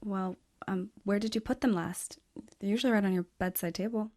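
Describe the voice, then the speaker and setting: A woman's voice: mid-range voice, fairly quick, noisy background, natural voice. A woman acting in an audio drama as an addicted daughter, guarded and confessional about a troubled childhood.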